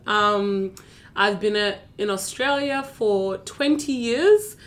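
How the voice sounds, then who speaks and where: A woman from South Sudan speaks as a podcast guest in an earnest, thoughtful way. Her pitch sits in a middle register for a woman. She comes across as proud of what she does. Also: takes it slowly. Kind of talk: conversational interview.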